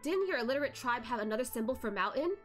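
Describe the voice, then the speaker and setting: A woman voicing a sharp-witted, teasing young male investigator who questions pointedly. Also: on the high side, animated, fast, faint music behind, natural voice. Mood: reasoning.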